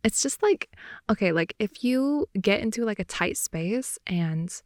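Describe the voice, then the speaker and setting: A young woman improvising an intimate ASMR girlfriend roleplay, rambling in a playful, slangy way and slipping between soft whispers and more animated talk, close to the mic. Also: medium pitch, measured pace, no room sound. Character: wide-awake chatty girlfriend, silly and playful. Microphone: close microphone, dry sound.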